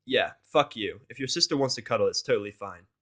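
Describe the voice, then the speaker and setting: A man voicing a grumpy, foul-mouthed story character with a soft spot, gruff and sarcastic. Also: mid-range voice, expressive, fast. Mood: sardonic.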